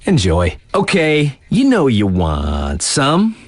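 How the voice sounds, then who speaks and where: Cool radio DJ, video game, lively swooping pitch, slangy, cocky, dry studio sound. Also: male voice, takes it slowly, dry recording, heavily acted. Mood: upbeat, cool. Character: hip, laid-back male snowboard-mountain radio DJ. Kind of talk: casual radio DJ patter, introducing tracks.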